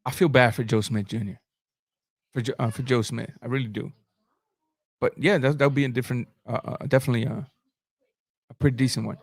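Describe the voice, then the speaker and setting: A man's voice: mid-range voice, fairly even intonation, fairly quick, vocal fry, long pauses, husky, dry recording. A man hosting a live boxing commentary stream, talking bluntly in informal slang and repeating phrases for emphasis. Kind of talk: live sports commentary, answering chat comments.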